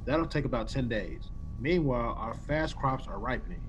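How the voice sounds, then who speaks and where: A man's voice: mid-range voice, normal speed, slight background noise, lightly acted, rough recording. An actor playing a decisive, commanding male executive of a space colony in an old-time radio science-fiction drama. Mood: commanding, resolute. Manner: commanding, firm.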